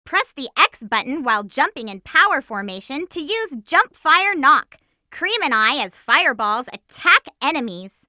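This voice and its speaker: A feisty, lovestruck young hedgehog girl from a video game. Her voice is extremely high and girlish, with a very bright, sharp edge. She is perky, sassy and a little bossy, recorded dry and close. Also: very animated, moderate pace, no room sound, heavily acted. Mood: cheerful instructing. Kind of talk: animated video game voice acting.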